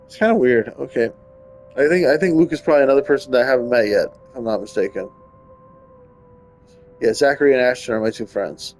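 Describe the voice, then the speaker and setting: A man with a medium-pitched voice plays a game, switching between reading aloud and casual commentary. He tends to trip over words and repeat them, with faint music underneath. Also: fast talker, long pauses, darkish tone. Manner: stumbles and rereads while reading aloud. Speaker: gaming streamer.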